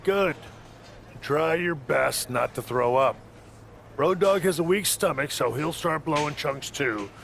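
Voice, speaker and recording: A wry wrestling coach from a video game. His voice sits fairly high with plenty of fry, recorded over faint background noise. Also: lively intonation, a little slow, a bit bright, exaggerated voice. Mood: wry, challenging. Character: wrestling trainer setting challenges for a rookie. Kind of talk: video game cutscene dialogue.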